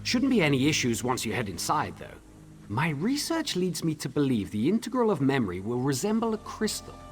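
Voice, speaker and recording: An actor playing an eager, articulate tinkerer-scholar in a fantasy video game, speaking theatrically. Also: male voice, on the high side, huge pitch swings, a little fast. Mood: explanatory, keen. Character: eager male tinkerer-scholar, enthusiastic about his research.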